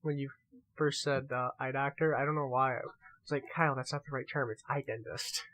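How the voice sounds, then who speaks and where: A man's voice: mid-range voice, fairly even intonation, brisk, pushed and bright, rough recording. A man co-hosting a podcast in casual, joking chat, bantering with mock seriousness and swearing freely. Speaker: podcast co-host.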